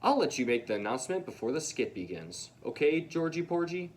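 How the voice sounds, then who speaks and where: A man voicing a calm, kindly school principal in a children's storybook, measured, explanatory and reassuring. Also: fairly high pitch, pitched above the performer's own voice. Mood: encouraging.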